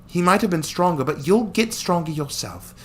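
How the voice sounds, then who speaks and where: Man putting on a high-pitched caring young woman. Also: animated, normal speed. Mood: encouraging. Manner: earnest, gently reassuring. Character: caring, encouraging young woman friend of the hero.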